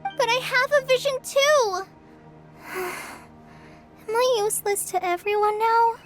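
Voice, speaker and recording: A woman voicing an eager young trainee forest ranger girl in an animated video game, polite and conscientious. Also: extremely high pitch, measured pace. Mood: dejected. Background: background music.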